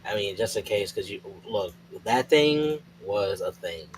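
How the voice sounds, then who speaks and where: Lower-voiced man, halting roleplay banter. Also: lively intonation, slight background noise, low-quality recording. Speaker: tabletop roleplay player. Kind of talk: casual in-game banter.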